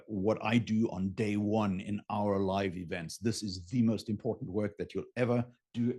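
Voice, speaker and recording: A man whose native language is German, with a husky voice, teaching business in an informal, emphatic, unscripted way and often checking in with the listener. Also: on the low side, darkish tone. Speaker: business coach hosting a live session. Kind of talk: unscripted teaching talk with drawn illustrations.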